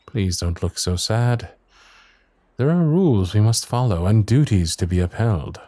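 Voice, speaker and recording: Man performing a dutiful young knight, lowish soft voice, lively intonation, formal and hesitant, romantic fantasy audio drama. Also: a little slow, no room sound. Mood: sorrowful, devoted. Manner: formal, courtly, tender, hesitant phrasing. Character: gentle, dutiful young male royal guard knight courting a princess.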